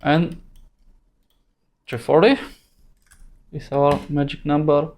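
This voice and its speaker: Slow, halting male tutorial instructor. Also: fairly high pitch, flat delivery, darkish tone. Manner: halting, thinking aloud in short phrases. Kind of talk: unscripted screen-recorded tutorial narration.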